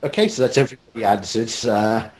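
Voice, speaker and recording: Casting: man with a lowish voice, casual interviewee, hesitant and self-deprecating. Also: fast, darkish tone. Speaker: cast member in a group interview. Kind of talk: casual chat.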